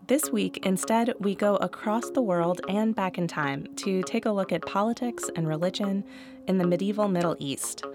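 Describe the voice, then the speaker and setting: A woman with a fairly low voice narrates a podcast script in a clear, even way. Soft music runs faintly behind her. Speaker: podcast host.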